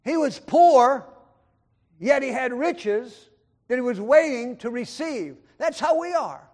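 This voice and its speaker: A man preaching a sermon, quoting scripture and swinging between loud emphatic lines and quiet low asides. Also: animated, takes it slowly. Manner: quotes scripture and acts out voices of others in the talk. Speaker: pastor.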